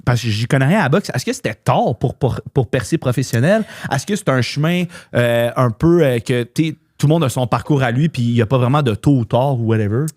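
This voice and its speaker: A man's voice: mid-range voice, expressive, fairly quick, hardly any pauses, a little mellow. A man with a Québécois French accent hosting a podcast, asking questions with stumbling restarts and casual swearing. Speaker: podcast host. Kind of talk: casual chat, interviewing.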